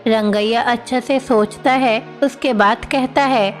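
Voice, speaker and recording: A woman's voice: a little high, steady tone, a little slow, big-room sound, faint music behind. A woman reading scripted narration for a moral bedtime story.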